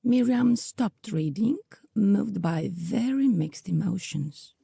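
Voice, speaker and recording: A woman with a husky, creaky voice reading scripted story narration for an audio drama. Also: mid-range voice, animated, takes it slowly, warm tone, dry recording, clean studio sound.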